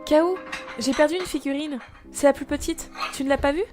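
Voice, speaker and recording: An actress as an anxious young mother recounts a haunting in an audio drama. Her voice is on the high side and her storytelling is measured, turning hushed and uneasy. Soft music plays behind her. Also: close to an everyday voice. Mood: suspicious. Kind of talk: first-person scripted narration in an audio drama.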